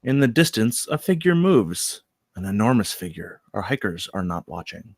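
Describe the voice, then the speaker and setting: A man reading screenplay stage directions aloud as narrator, with deliberate dramatic emphasis. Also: fairly low pitch, very animated, dry recording, studio quality.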